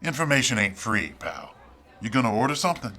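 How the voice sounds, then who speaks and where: A surly 1940s tough, played by a man from a film noir radio drama. His pitch moves around freely and the tone is bright and hard. He is curt, slangy and always needling. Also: very animated, fairly quick, faint music behind, full character voice. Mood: cagey. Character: surly, cagey, streetwise 1940s tough guy.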